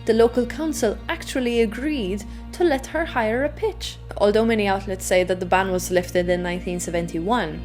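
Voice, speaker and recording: A woman's voice: medium pitch, a little mellow. A woman reading scripted narration in a conversational way, with rhetorical touches, as for a video essay. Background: background music.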